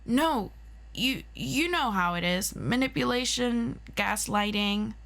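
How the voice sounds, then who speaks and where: A woman's voice: on the high side, takes it slowly, fairly bright tone, pitched above the performer's own voice. A woman voicing an eager, protective young hero, a loyal and earnest friend, in an audio roleplay. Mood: nervous, defensive.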